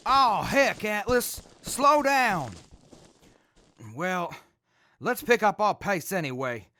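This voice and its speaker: An actress playing a practical, weary leader of wasteland travelers, with a sensible, folksy manner, in an audio drama. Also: female voice, mid-range voice, animated, takes it slowly, a bit bright. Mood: urging, weary. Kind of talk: post-apocalyptic audio drama acting.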